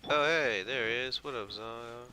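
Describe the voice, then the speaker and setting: A man's voice: mid-range voice, measured pace, sharp tone, slight background noise. A man streaming a game with casual, profane commentary, muttering reactions as he plays. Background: game music.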